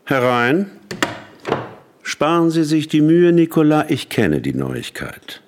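A man's voice: a little high, huge pitch swings, takes it slowly, noisy background, full character voice. An actor playing an imperious, condescending male company boss in a radio drama, speaking in a commanding, pointed way. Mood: cold, commanding.

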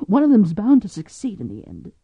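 A woman's voice: medium pitch, wildly swooping pitch, a little fast, dark tone, breathy edge, no echo, voice pushed up, heavily acted. A woman voicing a frightened, tearful pregnant young woman with an urgent manner. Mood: pleading. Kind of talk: audiobook character voice. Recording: dull lo-fi audio.

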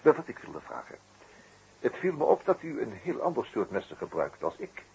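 An actor playing a courteous, shrewd detective with a hesitant, deliberately questioning way of talking in a 1960s radio play. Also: male voice, medium pitch, huge pitch swings, creaky, a little mellow, slight background noise, low-quality recording. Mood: polite, musing.

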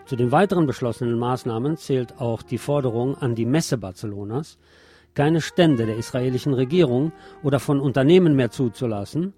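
A man's voice: mid-range voice, dark tone. A man presenting scripted news and commentary on the radio, reading as a presenter.

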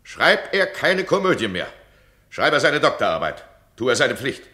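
An imperious scolding duke. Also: male voice, medium pitch, sing-song, moderate pace. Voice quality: imperious, scolding, swings loud. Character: domineering stern duke, absolute ruler. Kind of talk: radio play acting. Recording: roomy radio drama studio.

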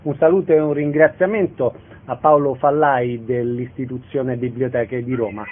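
A man's voice: medium pitch, fairly even intonation, moderate pace, a little hiss or hum. A man hosting a radio interview, putting questions to a guest and making announcements, heard through a narrow phone-like channel.

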